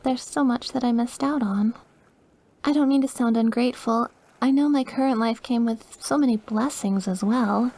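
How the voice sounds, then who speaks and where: A woman playing a sweet, awkward, wistful young woman who is dying and quietly obsessive, in a soft, hesitant, intimate ASMR roleplay. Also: on the high side, moderate pace. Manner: soft, hesitant, gushing, at times dropping to breathy murmurs. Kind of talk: ASMR audio roleplay, intimate one-sided dialogue.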